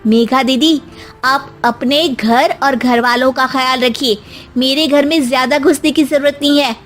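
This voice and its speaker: A firm, outspoken young daughter-in-law in an animated family tale, played by a woman. She has a high voice and scolds with indignant emphasis. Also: unhurried, faint music behind.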